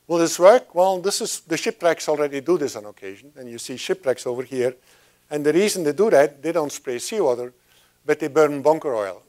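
An older man at a podium, giving a chatty science talk with frequent restarts and asides. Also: medium pitch. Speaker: guest speaker. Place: lecture room at a podium. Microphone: lapel mic.

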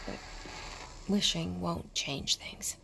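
A woman playing a wistful but resolute video game heroine, brooding in deliberate inner narration. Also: lowish voice, creaky, heavily acted. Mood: wistful resolve. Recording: noisy background ambience.

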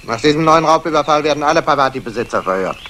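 A man's voice: a little high, animated, racing, pushed and bright. An actor playing an irritable man in hiding in a 1960s German radio crime play, peevish and complaining. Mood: uneasy, defiant. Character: grumbling, irritable male fugitive in hiding. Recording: noisy old radio play recording.

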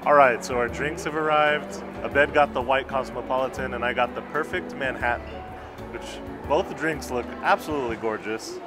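Thirties male food vlogger, gushing, lapel mic in a busy restaurant, music underneath, mid-pitched voice. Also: moderate pace. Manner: enthusiastic, gushing about food. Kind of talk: casual restaurant review. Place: busy indoor restaurant patio.

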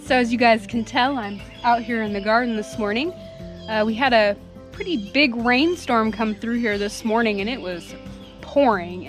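A woman's voice: on the high side, fairly bright tone. A woman in her thirties chatting casually outdoors in her garden, giving an enthusiastic update with many fillers. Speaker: homesteading vlogger. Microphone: handheld camera at arm's length.

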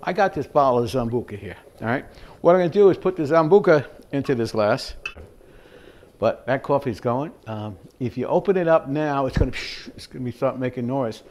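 A man's voice: medium pitch, animated, a little fast, a little mellow. An older man hosting a home cooking show, chatting casually as he demonstrates in his kitchen, rambling with fillers and chuckles. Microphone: fixed camera at mid distance.

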